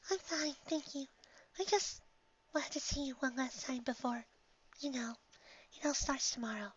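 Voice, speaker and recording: Shy, gentle cartoon pony girl, put on by a storyteller: high-pitched, hesitant, near-whispering, slightly muffled sound. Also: normal speed, a little hiss or hum, natural voice. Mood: shy, tender. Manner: hesitant, stammering, soft-spoken. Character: shy, gentle, nervous young pegasus mare.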